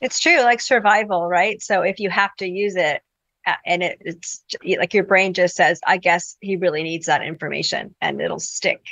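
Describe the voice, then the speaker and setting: A woman's voice: on the low side, moderate pace, fairly bright tone, no room sound. A middle-aged woman, a middle school teacher, speaking earnestly and thoughtfully from her classroom as a podcast guest. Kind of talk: podcast interview.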